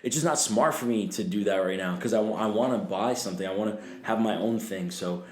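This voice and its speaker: A man in his twenties chatting loosely on a podcast, his voice a little low, filled with fillers and curses. The room echoes around him. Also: a little fast, a little mellow. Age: 20s. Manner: loose, swearing, laughing, trailing fillers. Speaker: podcast guest. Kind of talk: casual chat.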